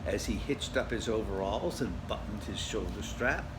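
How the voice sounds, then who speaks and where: A man's voice: fairly low pitch, normal speed, noisy background. A man reading a children's story aloud dramatically, with asides addressed to the listeners. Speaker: storybook reader.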